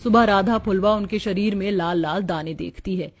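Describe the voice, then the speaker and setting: A woman's voice: mid-range voice, moderate pace. A woman narrator reading a scripted cartoon story.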